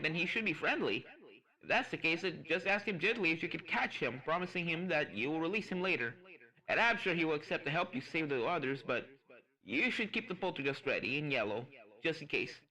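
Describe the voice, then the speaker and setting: A narrator voicing a calm, helpful male pony professor who gives chatty, encouraging instructions in a fan fiction story. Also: medium pitch, brisk, poor audio. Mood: cautioning.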